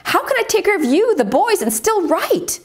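Woman acting an anguished, high-pitched American wife. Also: sing-song. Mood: anguished, panicked. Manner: halting, distressed. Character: anguished wife overwhelmed by husband's illness, pleading.

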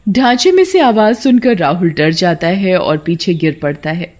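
A woman's voice: on the low side, lively intonation, moderate pace, darkish tone. A woman reading scripted narration as the storyteller of a children's tale.

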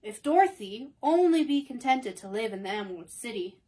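A woman voicing a kindly, thoughtful scarecrow ruler in a storybook reading, speaking slowly and deliberately. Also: on the high side, animated, warm tone, husky.

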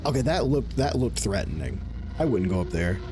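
Animated, jokey man reacting to a game. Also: on the low side, a little fast, noisy background. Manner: jokey, repetitive, swearing. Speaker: gaming video host. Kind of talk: casual gameplay reaction commentary. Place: indoor gaming setup. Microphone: close desk microphone and headset. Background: game music.

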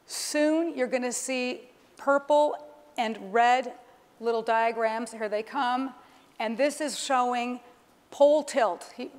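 A middle-aged woman presents a live keynote demonstration, explaining as she goes. Her voice is on the high side and rings with the long reverb of a large hall. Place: large conference stage, indoors. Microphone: clip-on lapel microphone. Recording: reverberant hall sound through PA.

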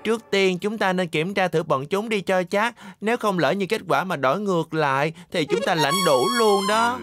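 High-pitched, eager cartoon sidekick. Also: male voice, normal speed, fairly bright tone. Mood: flattering. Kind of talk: dubbed cartoon acting. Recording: music behind the voice.

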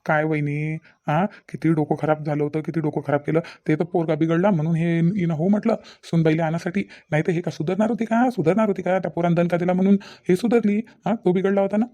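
A man's voice: on the high side, fairly even intonation, quick pace, mellow, close to an everyday voice. A man playing a distrustful middle-aged village father-in-law in a cartoon comedy, nagging sceptically and repetitively with a Vidarbha Marathi accent. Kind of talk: cartoon family comedy dialogue.

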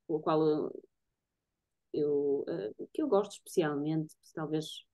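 Woman, European Portuguese accent, artist guest. Lowish, mellow voice, reflective, conversational delivery. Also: slow pace. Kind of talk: casual explanatory conversation about own work. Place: home bedroom-living room, indoors. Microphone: computer mic on a video call. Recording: dull, lo-fi video-call audio.

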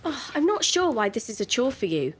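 A woman's voice: on the high side, very animated, a little fast, a little hiss or hum. An actress playing a demanding, insecure young woman who wants reassurance, in a naturalistic audio drama. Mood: bossy. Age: young adult. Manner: insistent, needy.

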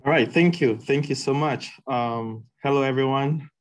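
A young man from Ghana tells his story with eager enthusiasm. His voice sits at an ordinary middle pitch and comes through a video call. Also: normal speed. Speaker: PhD student panelist. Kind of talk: presentation over video call.

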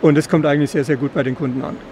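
A middle-aged man explaining product features in an interview, slightly halting and repeating words. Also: a little high, steady tone, dark tone. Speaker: caravan company representative. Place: caravan at an indoor trade fair. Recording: clear background noise of busy trade fair.

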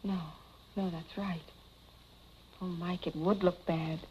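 Poised wife in muffled old film. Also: female voice, fairly low pitch, little pitch movement, slow pace, a little mellow, slight background noise. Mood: uneasy. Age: young adult. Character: poised, self-possessed wealthy young wife, soothing then uneasy. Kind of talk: 1940s film acting. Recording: dull 1940s film soundtrack with music.

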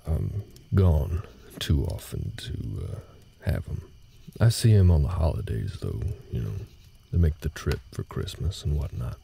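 Man voicing gruff cowboy mentor, audio roleplay, dark mellow tone, lively rise and fall, halting folksy phrasing, faint night sounds behind. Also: heavily acted. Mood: wistful, resigned. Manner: halting, low-key, folksy drawl-like phrasing. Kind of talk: intimate scripted roleplay audio drama. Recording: night ambience noise behind the voice.

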